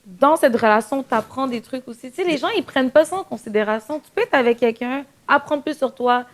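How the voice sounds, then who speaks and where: A woman's voice: sits high, brisk. A woman with a slight Quebec French accent chats casually and animatedly, slipping English phrases into her French. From Quebec, Canada. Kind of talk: casual podcast chat with English slang mixed in.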